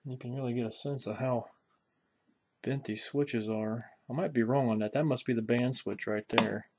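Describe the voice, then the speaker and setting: A man's voice: medium pitch, steady tone, moderate pace, darkish tone, slight background noise. Folksy man talking through a hands-on repair. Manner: folksy, musing aloud while handling parts. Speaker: hobbyist radio restorer presenting a repair video. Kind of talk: casual unscripted hands-on walkthrough.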